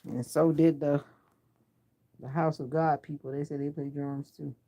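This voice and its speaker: A sleepy middle-aged woman, raspy from a sinus infection, chatting casually with viewers on a phone livestream from home and teasing them by name. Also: deep voice, level tone, a little slow, warm tone, dull audio, slight background noise. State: sleepy and exhausted. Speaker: livestream host. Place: at home indoors.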